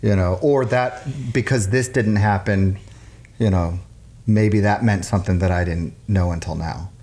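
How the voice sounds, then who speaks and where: Low-voiced American man, reflective. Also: a little slow, mellow. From Reno area, Nevada, United States. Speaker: musician guest. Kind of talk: casual, reflective chat.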